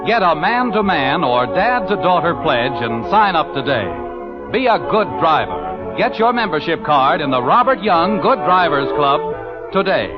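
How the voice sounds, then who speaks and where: Lively high-voiced male radio announcer, muffled recording. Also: unhurried. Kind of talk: 1950s scripted radio announcing. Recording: muffled old radio, music behind.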